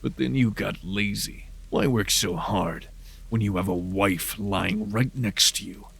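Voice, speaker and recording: A man's voice: fairly low pitch, measured pace, creaky, a bit bright, slightly rough, exaggerated voice. A man voicing a cold, vengeful supernatural detective in a horror audio drama, with a menacing, contemptuous manner. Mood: cold accusation.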